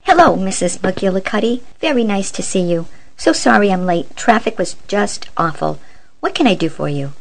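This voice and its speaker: Woman playing an animated, jaded bank clerk. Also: medium pitch, normal speed, gravelly, a little hiss or hum, low-quality recording. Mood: courteous. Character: jaded, sardonic female bank clerk with a polite front. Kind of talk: amateur radio play acting.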